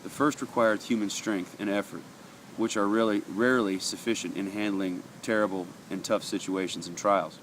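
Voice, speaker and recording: A man with a very low voice talks through a daily devotional in an earnest, conversational way, stopping often on fillers. He sounds a little sniffly from allergies. Faint traffic hums behind him. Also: steady tone, normal speed, low-quality recording. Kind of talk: casual devotional talk, reading passages aloud.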